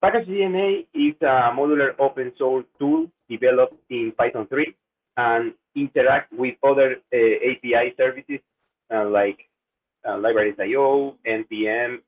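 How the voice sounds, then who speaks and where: Ecuadorian man presenting over tinny audio. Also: mid-range voice. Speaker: security researcher. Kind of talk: conference presentation. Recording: narrow phone-like audio.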